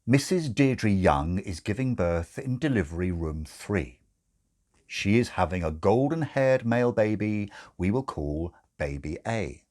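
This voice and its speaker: A man reading a comic story as narrator, in a measured, dramatic and slightly arch way. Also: on the low side, expressive, darkish tone. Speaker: narrator, audiobook reader.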